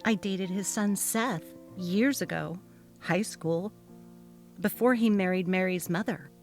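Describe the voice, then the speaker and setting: She is a mild, courteous office employee played by a woman narrator, guarded around a bullying boss. The delivery is plain-spoken and unhurried in a dramatic story reading, over music. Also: mid-range voice, darkish tone. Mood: matter-of-fact.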